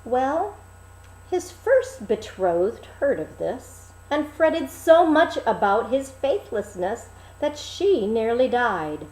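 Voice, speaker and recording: A woman reading a fairy tale aloud as a theatrical storyteller, pausing between phrases. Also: a little high, big pitch swings, a little mellow, echoey room, poor audio. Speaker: storyteller, reader.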